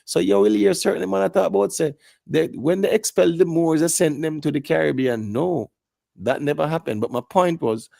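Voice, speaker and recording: Jamaican male panelist, gravelly, explains at length, keeps checking the listener follows. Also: fairly high pitch, moderate pace, warm tone, no room sound, studio quality. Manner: lecturing, repeats "you get me" asides. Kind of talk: live panel discussion.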